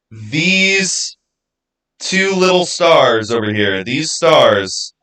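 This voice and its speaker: A man hosting a livestream, answering viewers in casual, halting speech and thinking out loud. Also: mid-range voice, smooth, poor audio. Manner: halting, thinking aloud with repeated phrases. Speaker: livestream host.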